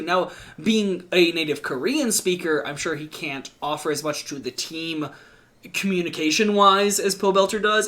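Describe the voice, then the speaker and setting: A man hosting a casual esports podcast, talking quickly with restarts and the odd swear word. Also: sits high, a bit bright. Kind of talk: casual esports analysis chat.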